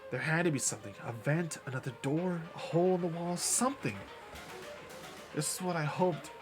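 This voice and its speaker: A man's voice: on the high side, brisk. A man live-streaming a spooky visual novel, reading its narration aloud dramatically and dropping casual, sweary asides. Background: game music.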